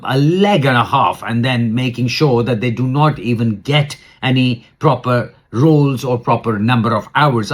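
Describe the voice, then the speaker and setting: A man explaining rules to viewers as an adviser, informal and plain-spoken, repeating points for emphasis in a cautionary way. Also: medium pitch. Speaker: immigration adviser.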